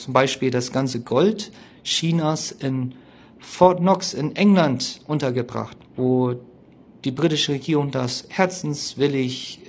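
A man giving an informal spoken history explanation in a colloquial, opinionated way, often halting and hesitating as he searches for words. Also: mid-range voice, slight background noise.

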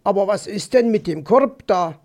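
A man voicing a dazed but gallant and gentle male fox in a storybook, polite and friendly. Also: high voice, lively intonation, moderate pace, vocal fry.

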